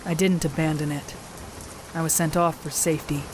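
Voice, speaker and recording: An actress playing a battle-hardened warrior sister, who narrates in the first person in a measured, firm way. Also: female voice, deep voice, steady tone, noisy background, lightly acted. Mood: calm firm. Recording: rain ambience behind the voice.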